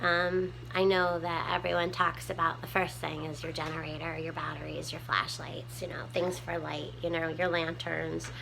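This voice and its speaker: A woman with a small, soft voice, chatting casually to her viewers from home with lots of ums while she tidies up. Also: sits low, flat delivery, moderate pace, creaky, hardly any pauses, slight background noise, low-quality recording. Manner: rambling, many ums, homespun talk. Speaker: prepping vlogger. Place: home room, tidying a table.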